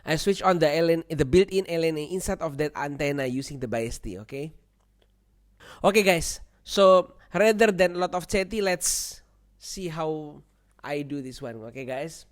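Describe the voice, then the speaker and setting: Male tutorial presenter, slightly high voice, non-native English, informal and repetitive step-by-step delivery with frequent check-ins. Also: normal speed. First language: not English. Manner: repetitive, seeks agreement with "okay" and "right". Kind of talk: informal spoken software walkthrough.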